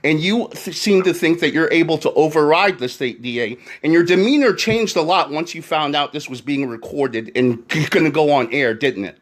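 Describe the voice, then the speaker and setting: A man's voice: fairly high pitch, lively intonation, moderate pace, few pauses. A man speaking insistently and confrontationally, as a caller and commentator arguing his case. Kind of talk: argumentative phone call and commentary.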